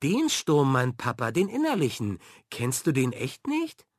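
A man voicing an excited little girl who talks eagerly. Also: high-pitched, normal speed, no room sound, studio quality, pitched above the performer's own voice.

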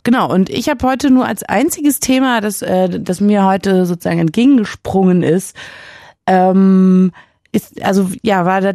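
A woman's voice: on the low side, warm tone, dry recording, clean studio sound. A woman hosting a call-in radio show, chatting playfully with lots of fillers and hesitations. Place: radio studio. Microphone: studio microphone.

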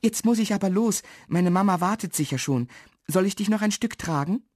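A woman's voice: medium pitch, animated, a little fast, a little mellow, no echo, clean studio sound, voice pushed up, close to an everyday voice. A woman voicing a kind, plucky and eager little girl in a children's storybook. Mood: hurried, kind.

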